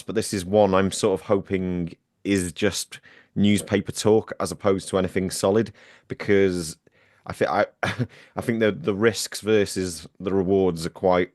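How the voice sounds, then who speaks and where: Deep, low-pitched man on a dry, close home microphone. He presents a football news roundup in a chatty, self-deprecating way, with plenty of ums. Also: no echo. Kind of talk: casual podcast chat.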